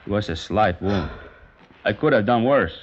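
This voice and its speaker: Deep and low, this sneering guitar player taunts slowly and coldly in an old radio drama. The sound is dull and muffled, like a vintage broadcast recording. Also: male voice, lively intonation, breathy edge. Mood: gruff. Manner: mocking, taunting, slow and cold. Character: sardonic menacing male guitar player. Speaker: emissary of the kidnappers. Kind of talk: 1950s radio drama acting.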